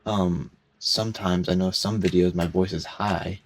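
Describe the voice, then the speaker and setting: A young man in his late teens rambling casually at home over gameplay, halting and self-conscious, with frequent restarts and stutters. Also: sits low, fairly even intonation, slight background noise. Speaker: gaming commentator. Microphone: cheap headset mic.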